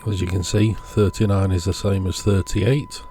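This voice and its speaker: Low-pitched man talking through a repair. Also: level tone, moderate pace, dark tone, faint music behind. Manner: unscripted, thinking aloud while working. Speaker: electronics repair video creator. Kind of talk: hands-on repair commentary.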